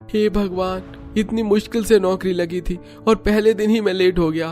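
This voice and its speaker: A man puts on an earnest young cartoon fellow, pitched well up. He sounds anxious, fretful and polite, with music behind him. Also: steady tone, normal speed, darkish tone, close to an everyday voice. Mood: worried, flustered. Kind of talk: Hindi cartoon story dubbing.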